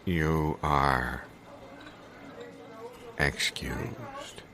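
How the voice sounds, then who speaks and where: A man playing a ponderous, cryptic doctor in improvised audio comedy, speaking in halting, solemn pronouncements. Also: rumbling low, steady tone, full character voice. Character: ponderous, cryptic old doctor of few grave words. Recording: loud guitar music behind. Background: guitar music.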